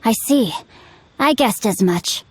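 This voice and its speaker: High-voiced, bookish chivalrous boy. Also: lively intonation, takes it slowly, a little mellow, slightly rough, full character voice. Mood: composed. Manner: formal, flowery, composed. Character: bookish, chivalrous teenage boy, eloquent and crafty. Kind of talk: video game character dialogue. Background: music.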